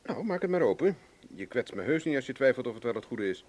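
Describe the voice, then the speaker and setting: An actor playing a wry, cool adventurer in a Dutch radio drama. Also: male voice, mid-range voice, very animated, fast, darkish tone. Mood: breezy, assured.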